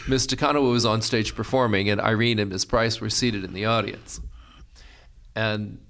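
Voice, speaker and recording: A man's voice: lowish voice, fairly even intonation, moderate pace, creaky, a bit bright. A man hosting an interview as a company director, chatty and fond of telling anecdotes. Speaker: host and company general director.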